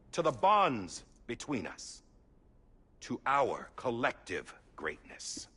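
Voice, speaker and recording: A solemn male statesman addresses the nation in a game cutscene. His husky voice moves slowly, with big oratorical rises and falls and measured emphasis. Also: mid-range voice, fairly bright tone, heavily acted. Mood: rousing, solemn. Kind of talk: formal ceremonial political speech, video game cutscene.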